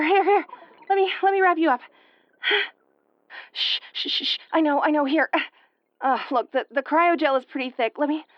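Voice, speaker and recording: A woman's voice: very high-pitched, no echo, dull audio. A woman playing a sardonic, sweary tomboyish young starship commander in an audio roleplay, stammering when rattled but secretly tender. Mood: soothing, flustered.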